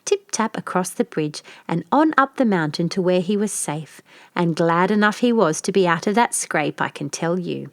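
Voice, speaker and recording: Woman, children's storybook narration, expressive, wide-ranging pitch, dry studio-like sound. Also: no echo.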